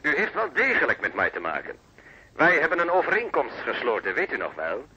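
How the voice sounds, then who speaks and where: Mocking radio-play villain, very piercing, swooping, threatening, thin telephone sound. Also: male voice, mid-range voice, wildly swooping pitch, a little fast, sharp tone, slight background noise, full character voice. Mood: mocking menace. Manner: taunting, threatening. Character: menacing, mocking blackmailer, an old nazi. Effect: telephone filter. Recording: band-limited telephone line sound.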